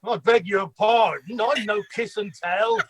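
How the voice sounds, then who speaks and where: A man's voice: sits high, unhurried, a bit bright, slightly rough, exaggerated voice. A man acting a genial, slightly hapless village customer in a comedy radio play, chatty and mild-mannered. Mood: mild, amiable.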